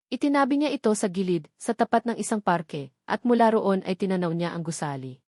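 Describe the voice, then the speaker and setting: A woman with a Filipino accent narrates a dramatic romance from a script. Her pitch sits in the middle of the female range. The recording is close and dry, with very little room sound. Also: darkish tone, no echo. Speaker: story narrator.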